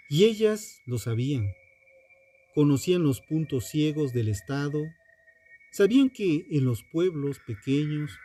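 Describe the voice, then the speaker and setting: A man narrating a scripted true crime story with dramatic, deliberate phrasing and pointed pauses. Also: on the high side, dark tone. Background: music under the voice.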